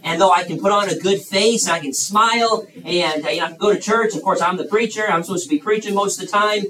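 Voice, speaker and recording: A man's voice: sits high, fast, pushed and bright. Chatty man preaching in an echoey hall. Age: 30s. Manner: folksy, chatty, many fillers and restarts. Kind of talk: youth sermon with audience questions. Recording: echoey room, mic far off, faint hiss.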